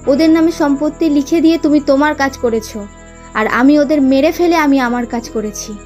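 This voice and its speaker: Actress as wicked ghost stepmother in animated horror; high-pitched, defiant, cruel, music behind. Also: normal speed, lightly acted. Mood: spiteful, defiant. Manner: defiant, spiteful, unrepentant. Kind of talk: dramatic horror cartoon villain acting. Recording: music underneath the voice.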